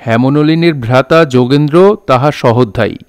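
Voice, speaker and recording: An actor playing a dignified literary storyteller in an audio drama, speaking formally and with measured care. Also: male voice, medium pitch, smooth, no echo, close to an everyday voice. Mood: storytelling. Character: dignified storytelling landlord-poet, gently scolding his village listeners. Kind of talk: Bengali audio drama narration, literary diction.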